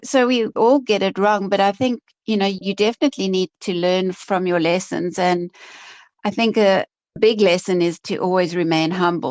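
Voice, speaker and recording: A South African woman, an investment officer, answering interview questions in a relaxed, self-deprecating way and laughing easily. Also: on the low side, dry recording, lo-fi sound.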